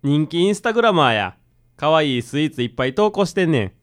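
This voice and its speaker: Radio drama: cocky, rough-talking young slacker man played by a woman, Kansai accent, fast, animated, low pitch. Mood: enthusiastic. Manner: casual, cocky, rough masculine speech. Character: brash, boastful odd-job man who loves sweets. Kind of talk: comic radio drama acting.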